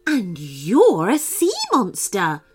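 A woman voicing a loud, bossy, cheerful beach-going woman in a storybook, booming and emphatic. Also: wildly swooping pitch, slow, slightly rough, exaggerated voice.

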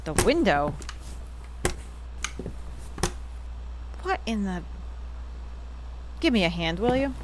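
A woman with an American accent playing a brisk, teasing mother who runs a boarding house, in a naturalistic audio drama. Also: mid-range voice, big pitch swings, slight background noise. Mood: busy, puzzled. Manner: wry, matter-of-fact teasing.

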